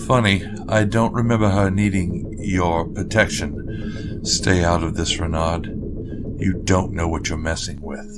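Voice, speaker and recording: Woman putting on a deep, grim man. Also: wildly swooping pitch, unhurried, over music, exaggerated voice. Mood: cold. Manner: low, clipped, warning. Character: grim protective man, cold and steady. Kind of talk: audiobook-style character voicing.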